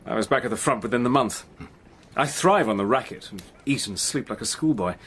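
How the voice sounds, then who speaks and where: An actor playing a resolute army major who narrates and speaks in a brisk, soldierly way. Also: male voice, medium pitch, expressive, fairly bright tone, slight background noise, close to an everyday voice. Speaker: narrator and lead. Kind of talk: first-person dramatic narration.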